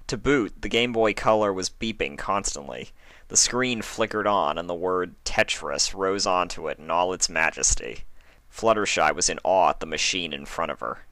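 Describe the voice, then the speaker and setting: A man reading a scripted story as narrator, with dramatic, deliberate delivery. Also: deep voice, bright, forceful tone, no room sound. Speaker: fan fiction reader and narrator.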